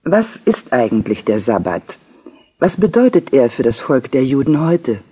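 A man's voice: medium pitch, very animated, unhurried, mellow, husky. A man reading scripted documentary narration in a factual manner. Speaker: audiobook narrator.

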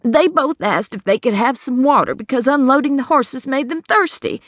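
A woman voicing a distraught middle-aged woman who has been cheated of her savings, talking high and pleading. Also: normal speed, fairly bright tone, no echo, studio quality. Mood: anxious.

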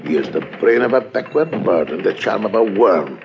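An actor playing an impertinent, self-important, jealous old bank boss in an old-time radio drama, with a husky voice and a fussy, excitable manner. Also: male voice, on the low side, normal speed, background noise. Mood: jealous, grave. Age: older. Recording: dull vintage radio recording.

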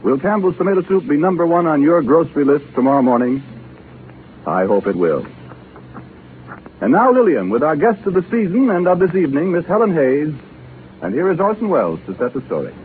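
A polished male announcer from 1930s radio, selling with enthusiasm. His voice is fairly high and very lively in pitch, on a tinny broadcast. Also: brisk, a little hiss or hum.

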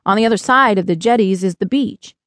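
A woman's voice: mid-range voice, fairly quick, a bit bright, dry recording, studio quality, close to an everyday voice. A woman voicing a shy young boy in an audiobook, hesitant and informal in the way of talking. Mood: puzzled. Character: young boy, awed, shy, casual-talking American kid.

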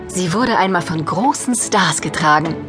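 A woman's voice: lowish voice, animated, moderate pace, over music, lightly acted. A woman playing a kind, encouraging mother in a children's audio drama, speaking in a reassuring manner. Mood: gentle, encouraging.

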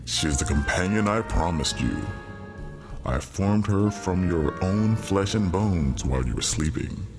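An actor playing a solemn, benevolent God in an audiobook Bible drama, speaking in a measured, grand, authoritative way. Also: male voice, deep voice, lively intonation. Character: God, solemn benevolent commanding male creator. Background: music.

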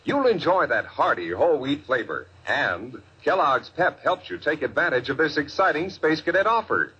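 A man's voice: a little high, sing-song, normal speed, bright, forceful tone, a little hiss or hum. A man announcing a 1950s children's radio advertisement with an emphatic, enthusiastic sales manner. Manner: emphatic, addresses young listeners. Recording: dull vintage radio recording.

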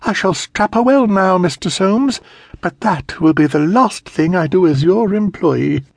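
A man voicing a stern, prudish middle-aged governess who scolds in a shrill, indignant way. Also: lively intonation, measured pace, vocal fry, no echo, clean studio sound, heavily acted. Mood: wrathful.

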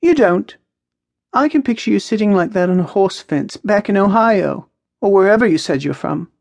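A woman narrator voicing a lively, teasing, earnest young woman with a lyrical, expressive way of talking in a story. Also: mid-range voice, normal speed, gravelly, no echo, pitched above the performer's own voice.

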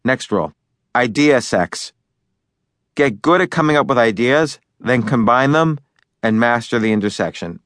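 Male author-narrator, mid-pitched voice, chatty and loose, dry close sound. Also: expressive, moderate pace, a bit bright, no room sound. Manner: loose and chatty, with fillers and restarts. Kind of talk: conversational audiobook narration.

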